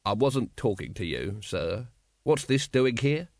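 A man's voice: medium pitch, very animated, a little slow, dry recording, studio quality. A man voicing an exasperated police captain, curt, weary and sarcastic, in a comic audiobook.

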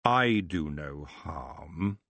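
Lecherous horned elf king, acted by a man: languid, drawling, condescending, very slow, for a fantasy audiobook. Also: deep voice, expressive, fairly bright tone, no echo, exaggerated voice. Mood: amused, languid. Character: lazy, lecherous, seductive elf king with horns. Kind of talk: audiobook character voice.

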